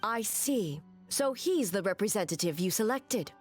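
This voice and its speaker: A woman's voice: medium pitch, animated, faint music behind, close to an everyday voice. Woman as a stern, formal female ruler. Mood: firm. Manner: formal, measured, matter-of-fact authority. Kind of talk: animated video game dialogue.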